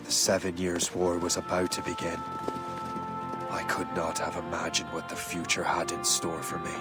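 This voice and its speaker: Game casting: male actor as roguish young Irish assassin, deep-leaning voice, unhurried delivery, music underneath. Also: monotone, lightly acted. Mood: solemn, reflective. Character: roguish young assassin recounting his life story. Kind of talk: scripted game voice acting.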